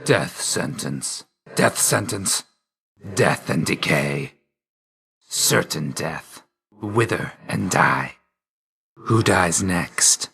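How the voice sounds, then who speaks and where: Stern death god, game character, lowish pitch, bright forceful edge, curt, deadpan, aloof. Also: male voice, very slow, gravelly, long pauses, heavily acted. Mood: terse, dismissive. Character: grim, aloof god of death, stern yet grudgingly loyal. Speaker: video game voice actor. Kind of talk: scripted game character lines.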